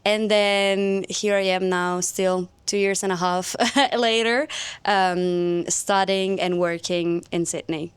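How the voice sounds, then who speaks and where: A woman's voice: mid-range voice, fairly even intonation, slow pace, clean tone, no echo. A young woman from Italy, introducing herself in a hesitant casual way with many fillers. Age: young adult. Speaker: guest. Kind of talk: casual chat.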